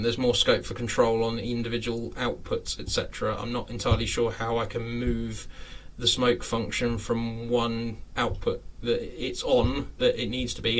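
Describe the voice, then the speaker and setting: A man's voice: medium pitch, a bit bright, recorded from a distance, low-quality recording. A man in his late thirties, hosting a casual live chat from his home model railway room, rambling with lots of fillers and frequent chuckles. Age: 30s.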